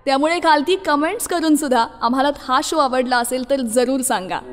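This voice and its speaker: A woman's voice: high-pitched, fairly quick. A woman presenting a radio show as its host, speaking directly to listeners. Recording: long reverb, music playing behind. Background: music.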